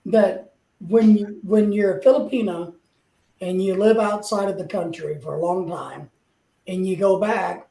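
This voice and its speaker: A man's voice: sits high, fairly even intonation, a little slow, creaky, dark tone, roomy sound, poor audio. An older man chatting casually with a rambling, hesitant way of talking. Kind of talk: casual chat.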